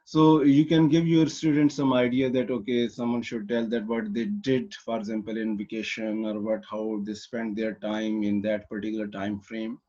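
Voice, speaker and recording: A man's voice: on the low side, little pitch movement, normal speed, hardly any pauses, mellow. Man lecturing over a muffled online call. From Pakistan. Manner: explanatory, with frequent fillers and restarts. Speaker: English teacher.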